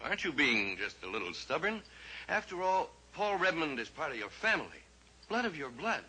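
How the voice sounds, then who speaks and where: An actor playing a nervous, weak-willed lawyer who talks smoothly but with hesitation in a 1950s radio drama. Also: male voice, on the high side, big pitch swings, moderate pace, pushed and bright, full character voice, rough recording. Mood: wheedling. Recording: old radio recording with faint hiss.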